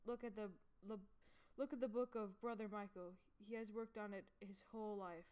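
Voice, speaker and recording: A woman's voice: medium pitch, steady tone, moderate pace, a little mellow, clear, resonant tone, natural voice. A woman voicing a proud, welcoming male monk who explains his home in a storybook.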